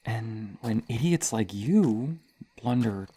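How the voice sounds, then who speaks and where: A woman's voice: very deep voice, expressive, takes it slowly, warm tone, slightly rough, exaggerated voice. A woman playing a sadistic, playful serial killer in an ASMR horror roleplay, teasing and menacing, with a hesitant stammer and drops into whispers. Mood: smug amusement.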